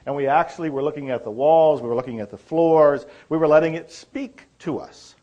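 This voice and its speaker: A man's voice: a little high, brisk, mellow, highs cut off. A man co-presenting a seminar, taking questions informally in a courteous, anecdotal manner. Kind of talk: informal question-and-answer talk. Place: seminar room.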